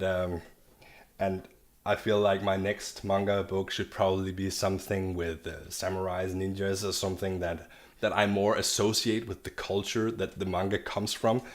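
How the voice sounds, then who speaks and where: A man from Denmark, giving an enthusiastic, rambling video review of a manga and often restarting his sentences. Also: low-pitched. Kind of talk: casual video review monologue.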